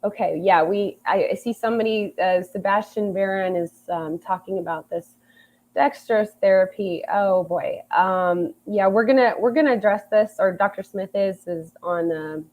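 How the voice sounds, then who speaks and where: A woman's voice: medium pitch, moderate pace, darkish tone. A woman joining a livestream by audio only as guest expert, answering viewer questions hesitantly with many ums. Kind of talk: casual Q&A over audio call. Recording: tinny phone-like audio line.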